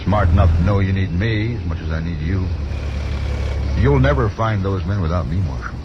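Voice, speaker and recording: Wheedling American gambler outlaw, low register, nervy schemer in a radio western, muffled beneath loud road rumble. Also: male voice, lively intonation, normal speed. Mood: wheedling, sly. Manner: wheedling, insistent. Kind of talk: 1950s western radio drama acting. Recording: dull old radio broadcast, loud vehicle rumble. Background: loud truck engine noise.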